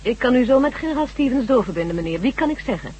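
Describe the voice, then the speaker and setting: A woman's voice: a little high, expressive, quick pace, darkish tone, husky, lo-fi sound. An actress playing an earnest woman scientist in a radio drama, speaking in an urgent, persuasive and polite way. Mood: composed, insistent. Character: earnest, insistent woman scientist who treats friends.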